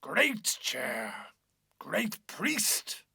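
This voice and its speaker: Man acting a slow, gravelly, pompous Irish priest. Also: huge pitch swings, sharp tone, dry recording, clean studio sound, full character voice. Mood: pompous, irritated. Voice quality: deep, grunting. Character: fat, pompous, menacing older Irish parish priest. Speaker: actor in a dramatic reading. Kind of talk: theatrical dramatic reading of literature.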